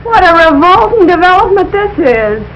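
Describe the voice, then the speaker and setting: An actress playing an exasperated but affectionate American housewife, in old broadcast sitcom style. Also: female voice, very high voice, sing-song, normal speed, a bit bright, exaggerated voice. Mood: puzzled. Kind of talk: 1940s-50s broadcast sitcom acting. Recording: noisy old broadcast recording.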